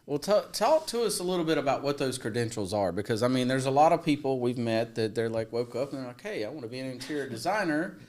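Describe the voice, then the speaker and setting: A man's voice: mid-range voice, expressive, fast talker, hardly any pauses, a little mellow. A man co-hosting a casual interview, easygoing and joking as he asks his questions. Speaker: co-host.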